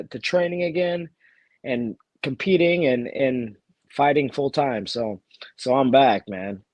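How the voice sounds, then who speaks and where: Man with a cold, halting and dry. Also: a little high, darkish tone, dry recording. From Texas, USA. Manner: halting, many restarts and fillers. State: has a cold. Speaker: bare-knuckle fighter, guest. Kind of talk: casual interview chat.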